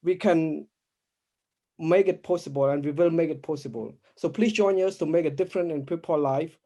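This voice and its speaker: A man with a soft-edged, mellow and slightly high voice gives a project presentation. He speaks as one presenter of a team. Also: moderate pace. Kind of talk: pitch presentation.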